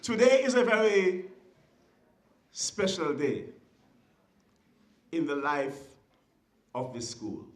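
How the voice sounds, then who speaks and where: A speaker with a Kittitian accent giving a formal ceremonial speech in a deliberate, oratorical way, with emphatic short phrases. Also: medium pitch, very animated. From Saint Kitts and Nevis. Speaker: guest speaker at a school ceremony. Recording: echoey venue sound.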